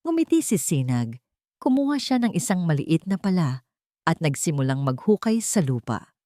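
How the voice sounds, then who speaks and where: A woman narrating a scripted audio drama story in a sentimental, earnest way, with measured phrasing and dramatic pauses. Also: deep voice, sing-song, warm tone, dry recording, studio quality.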